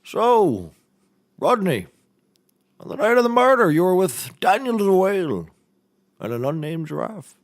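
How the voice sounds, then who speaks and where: Male actor as a seafaring defense lawyer, slightly raised pitch, pompous, theatrical, blustering, comedy audio drama. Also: sing-song, a little slow, long pauses, no echo, studio quality, exaggerated voice. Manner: theatrical, pompous courtroom oratory. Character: blustering nautical handyman turned defense lawyer. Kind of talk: scripted audio comedy courtroom acting.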